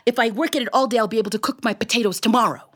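A woman's voice: fairly high pitch, very fast, fairly bright tone, husky. A woman storyteller voicing a flustered, earnest and oblivious village man who is always busy. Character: frantic, dim, busy village man with a teaspoon.

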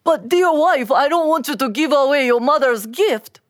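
A woman voicing a gentle, worried husband in a folktale, earnest and deliberate. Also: sits high, no echo, clean studio sound, voice pushed up, lightly acted. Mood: pleading. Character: gentle, worried Chinese husband and cricket trainer.

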